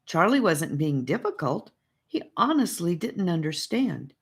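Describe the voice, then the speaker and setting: A woman reads a children's fantasy story aloud as an audiobook narrator. Her voice is low and unhurried, with expressive, widely varied intonation that suits storytelling. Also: husky.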